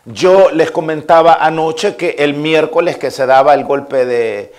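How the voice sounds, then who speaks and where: A man's voice: fairly high pitch, steady tone, unhurried, darkish tone. A man in his fifties from El Salvador with a Salvadoran Spanish accent, preaching a sermon as a pastor in a repetitive, listing cadence with frequent amens.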